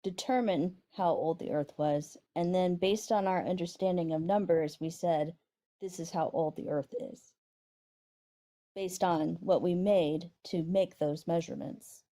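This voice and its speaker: A woman in her forties whose voice is high-pitched but mellow and warm. She takes part in a casual debate as a caller, answering hesitantly and often doubting herself. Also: fairly even intonation, unhurried. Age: 40s.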